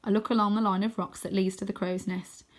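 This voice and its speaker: A woman reading a children's adventure story aloud as expressive first-person narration. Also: mid-range voice, a little fast. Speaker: storybook reader.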